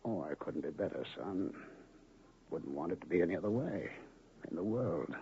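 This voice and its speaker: An actor playing an honest, weary gambler who is a doctor, in an old radio drama, speaking calmly and drily with a gravelly voice. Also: male voice, sits low, normal speed, exaggerated voice. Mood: dying. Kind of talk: 1970s radio drama acting. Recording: tinny lo-fi old radio broadcast.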